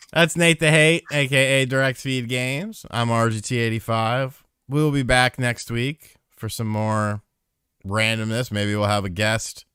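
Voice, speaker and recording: A man's voice: mid-range voice, fairly even intonation, slow, bright, forceful tone, clean tone, no room sound, clean studio sound. A man co-hosting a podcast aftershow, joking and swearing in rambling casual chat. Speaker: podcast co-host.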